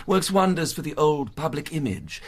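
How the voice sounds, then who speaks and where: Chatty british charity official, radio play, husky voice, goes up and down a lot, kindly and confiding. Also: male voice, fairly high pitch, very animated, normal speed. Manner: colloquial, confiding. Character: chatty, kindly actors' charity official, plain-spoken man. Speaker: actor. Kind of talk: radio drama acting.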